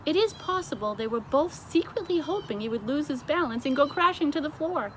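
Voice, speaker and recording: A woman reading a children's storybook aloud as narrator, with expressive delivery. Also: high-pitched, brisk, slight background noise. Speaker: storybook reader.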